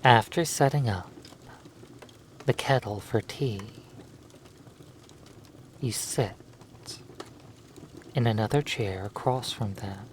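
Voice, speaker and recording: Very slow hypnotic storyteller. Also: medium pitch, a little hiss or hum. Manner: measured, halting delivery in short phrases with long pauses. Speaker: hypnosis roleplay narrator. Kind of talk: slow second-person hypnosis story narration.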